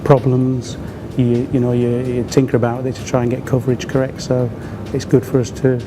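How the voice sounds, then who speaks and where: A man chats informally as an exhibitor being interviewed. His voice sits at a middling pitch with very even intonation, and music is clearly heard behind it. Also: fairly quick.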